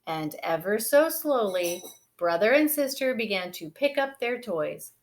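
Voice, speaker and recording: A woman storyteller reading a children's picture book aloud in an expressive storytelling style. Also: mid-range voice, a little slow.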